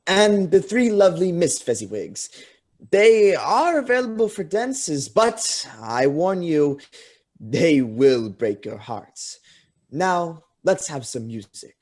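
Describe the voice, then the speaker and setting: Grand announcing, man acting jovial party host, showy proud husband, very animated pitch, radio play. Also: takes it slowly, heavily acted.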